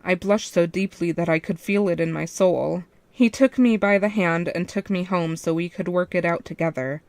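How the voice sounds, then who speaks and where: A woman's voice: lowish voice, moderate pace, darkish tone, no room sound. A woman reading a first-person fan fiction story as its narrator.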